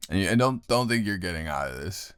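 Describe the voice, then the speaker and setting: A man improvising an intimate boyfriend roleplay as a teasing, affectionate roommate, talking in a casual, halting way with a low, gravelly voice. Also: animated, no echo, clean studio sound. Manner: casual, halting, unscripted delivery. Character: grumpy, teasing, affectionate male roommate turned lover. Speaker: audio roleplay performer.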